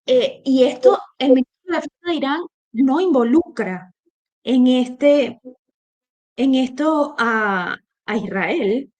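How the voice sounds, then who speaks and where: A woman's voice: high voice, expressive, slow pace, darkish tone. A woman from Venezuela with a Venezuelan accent, co-hosting a political discussion in an emphatic, opinionated way, often restarting her phrases. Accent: Venezuelan Spanish. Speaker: co-host.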